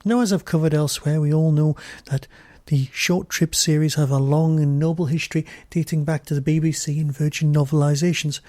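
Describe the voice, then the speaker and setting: A man hosts a review podcast with prepared commentary. His voice is a little high yet mellow, recorded close and dry. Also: no room sound. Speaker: podcast host.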